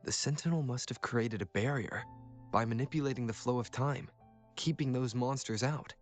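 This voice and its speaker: A man voicing a calm, earnest young hero in a video game, speaking in a measured, reflective way. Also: mid-range voice, faint music behind, close to an everyday voice. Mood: thoughtful, earnest.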